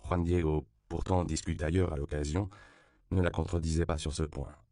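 A man reading a novel aloud as an audiobook narrator, in a calm, measured way. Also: very deep voice, creaky, clean tone, dry recording, studio quality.

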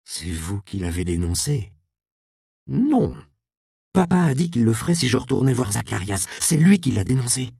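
A male audiobook reader voicing a grieving, defiant teenage girl who speaks in halting, tearful bursts. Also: low-pitched, very animated, creaky, no echo, studio quality, full character voice. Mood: angry. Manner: sobbing, halting speech.

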